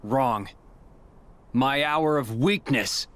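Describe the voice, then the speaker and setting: An actor playing a brooding, earnest young hero in a video game, terse and intense. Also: male voice, medium pitch, very slow, a bit bright. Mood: grim, rueful.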